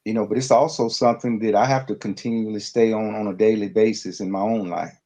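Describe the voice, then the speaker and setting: A man speaking reflectively in a podcast conversation, halting and earnest, with frequent pauses. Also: lowish voice, steady tone, mellow. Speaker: podcast guest.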